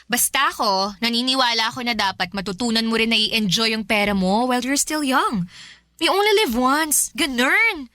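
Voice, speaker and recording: A woman's voice: on the high side, moderate pace, bright, forceful tone, no room sound, clean studio sound. An actress playing a young, breezy, generous girlfriend in a Filipino radio drama, with a playful, coaxing, casual way of talking. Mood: teasing, defensive.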